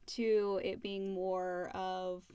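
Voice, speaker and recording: Lady co-host on a podcast, mid-pitched voice, casual chatting, really dry recording. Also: little pitch movement, very slow, dark tone, clear, resonant tone, no echo, dull audio. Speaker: podcast co-host reading listener questions. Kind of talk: casual chat.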